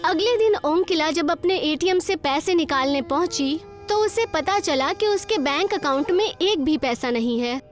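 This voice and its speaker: A woman's voice: high voice, expressive, moderate pace, few pauses, faint music behind. A woman narrator reading a scripted children's moral story.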